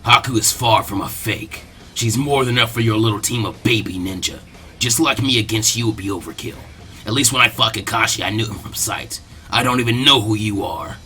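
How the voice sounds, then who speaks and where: A man voicing a gruff, menacing male mercenary ninja swordsman with a low growl and an offhand, mocking way of talking, for a story reading. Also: fairly quick, pushed and bright, faint music behind. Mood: scornful.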